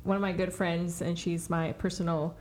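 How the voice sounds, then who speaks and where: A woman's voice: lowish voice, level tone, moderate pace, darkish tone. Casual woman podcast host. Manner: casual, filler-heavy intro.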